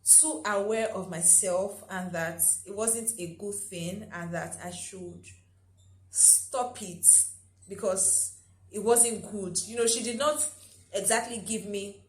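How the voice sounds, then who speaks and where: A woman's voice: mid-range voice, echoey room, rough recording. A young Nigerian woman with a Nigerian accent, casually vlogging to her viewers, chatty, laughing easily and tagging sentences with "right?". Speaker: YouTuber. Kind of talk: casual vlog talk.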